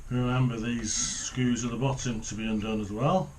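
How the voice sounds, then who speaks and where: A middle-aged man talks through a hands-on job at an ordinary, medium pitch. His delivery is casual and unscripted, sometimes muttered to himself. The indoor recording has faint noise and small clicks. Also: fairly even intonation, normal speed, darkish tone, poor audio. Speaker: hobbyist presenter of a radio repair video. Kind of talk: casual hands-on demonstration commentary. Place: small home office, indoors.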